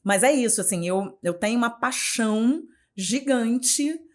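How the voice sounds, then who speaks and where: Carioca woman in her forties, journalist guest on an interview show, expressive and chatty, often restarting phrases and adding tag questions. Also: fairly high pitch, a little slow. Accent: Carioca, Rio de Janeiro. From Rio de Janeiro, Brazil. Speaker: guest, journalist and communication mentor. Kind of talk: casual interview conversation.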